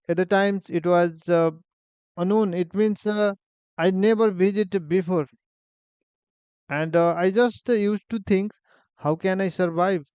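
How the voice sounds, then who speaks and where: Nepali-accented male vlogger in his forties, high smooth voice, unhurried personal storytelling with lots of pauses. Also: a little mellow, dry recording, dull audio. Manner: halting, many fillers and restarts, simple phrasing. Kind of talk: personal story, casual monologue.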